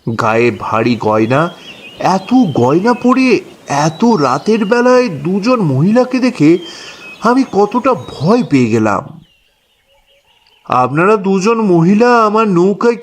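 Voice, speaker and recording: Guy telling a horror story as a boatman, dramatic, pitch jumps around a lot. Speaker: narrator acting as a boatman. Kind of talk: dramatic first-person horror story narration.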